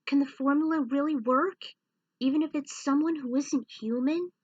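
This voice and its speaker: A woman's voice: sits high, normal speed, a little mellow, no echo, lo-fi sound, voice pushed up, close to an everyday voice. A woman voicing a worried, devoted female character in a story reading, anxious and hesitant. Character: worried woman, devoted but full of doubt.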